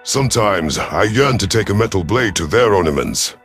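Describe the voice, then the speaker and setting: A man narrating a scripted first-person science fiction story in a measured, solemn manner. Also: fairly low pitch, expressive, a bit bright, faint music behind.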